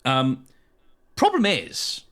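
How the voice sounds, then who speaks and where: A British man co-hosting a podcast, explaining things casually in a halting way, often hedging and correcting himself. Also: mid-range voice, huge pitch swings, a bit bright. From United Kingdom. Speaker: podcast co-host.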